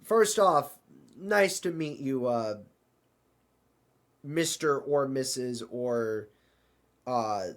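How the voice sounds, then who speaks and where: A man playing an excitable, apologetic multiverse traveler in an audio drama, stammering and fussing through his lines. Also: mid-range voice, extremely slow, long pauses. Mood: flustered, apologetic. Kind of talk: hobby audio drama dialogue.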